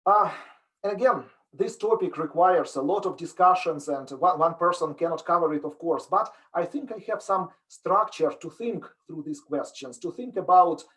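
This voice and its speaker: A man's voice: on the high side, moderate pace, fairly bright tone. A middle-aged man from Ukraine with a Ukrainian accent, giving an earnest, explanatory online talk as a business professor. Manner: earnest, explanatory, with frequent restarts. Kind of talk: online lecture presentation.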